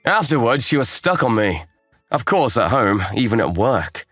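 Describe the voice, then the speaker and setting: A man acts out a young male programmer in an anime-style manga dub, a kindly mentor with an easygoing, reassuring manner. His pitch moves in lively swings, and the recording is close and dry. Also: normal speed, no echo, soft background music. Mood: narrating, mildly puzzled.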